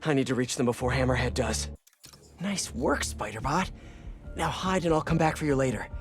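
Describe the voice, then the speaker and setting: An actor playing a young, wisecracking, earnest American superhero in a video game, tossing out quippy asides. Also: male voice, medium pitch, lively intonation, moderate pace, soft background music, close to an everyday voice. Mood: focused, dry. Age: young adult. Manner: quippy, self-talking.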